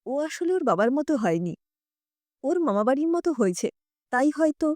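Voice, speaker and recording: A woman voicing a meek, resigned older mother-in-law, gentle and self-effacing, in an audio story. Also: high-pitched, big pitch swings, normal speed, no room sound, studio quality. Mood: resigned, sorrowful. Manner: soft-hearted, self-effacing.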